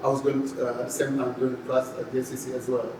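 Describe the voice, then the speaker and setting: A man in his forties with a Senegalese accent, giving a casual interview in a halting way, often saying "you know". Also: medium pitch, completely flat delivery. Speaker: podcast guest, photographer and graphic designer. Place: indoor podcast studio. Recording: echoey room, distant mic, clear background noise.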